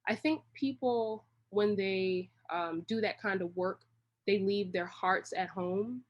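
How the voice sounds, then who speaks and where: A woman's voice: mid-range voice, slow pace, muffled recording. A woman talking casually as an interview guest, in a rambling, slangy, long-winded way. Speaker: interview guest, creative director.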